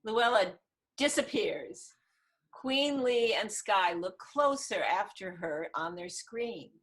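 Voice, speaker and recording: Woman, medium pitch, scripted narration, stage directions, table reading. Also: expressive, takes it slowly, fairly bright tone.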